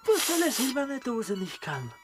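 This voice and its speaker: A man's voice: very high-pitched, huge pitch swings, a little slow, faint music behind, exaggerated voice. A vain, teasing bailiff in a comic period radio play. His intonation bounces up and down, theatrical and mocking. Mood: teasing, gloating. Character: vain, sly, teasing male estate bailiff.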